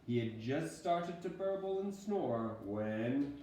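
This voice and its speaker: A man reading a storybook aloud like a schoolteacher, in a dramatic, deliberate storytelling manner. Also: medium pitch, lively intonation, mellow. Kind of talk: storybook narration. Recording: very echoey room, distant mic, faint noise.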